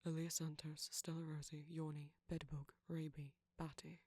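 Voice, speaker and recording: A male ASMR creator reads a scripted outro of thanks. His voice is breathy and husky, with little movement in pitch. The sound is dry and close. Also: flat delivery, normal speed, darkish tone, dry recording.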